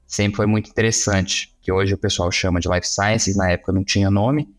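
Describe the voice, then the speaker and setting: A Brazilian man from Minas Gerais speaks as an interview guest. His voice is on the low side. He explains in a casual, conversational way, halting now and then to find a word. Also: fairly even intonation.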